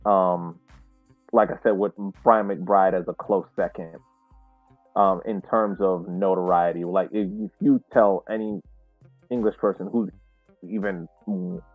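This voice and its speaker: Phone-like, low-voiced man discussing sports. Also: no echo. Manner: halting, searches for words. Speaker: podcast co-host. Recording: tinny, dry phone-like call audio.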